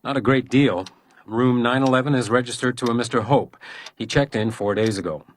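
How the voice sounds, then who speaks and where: An actor playing a terse, dry hotel detective and war veteran who keeps to himself, in a radio drama. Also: male voice, medium pitch, a little fast, a little hiss or hum, natural voice.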